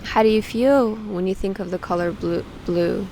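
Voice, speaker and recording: A woman leading a guided meditation, giving soothing instructions with frequent pauses. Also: mid-range voice, dark tone, a little hiss or hum, low-quality recording. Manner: slow, pausing, soothing instruction.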